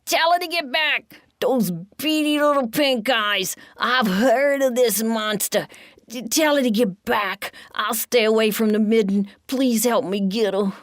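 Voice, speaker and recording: A woman voicing Joey, a showy, fun-loving young male pack rat who calls out in a loud, sing-song showman style. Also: mid-range voice, lively intonation, slow, smooth, dry recording, clean studio sound, voice pushed up. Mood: panicked.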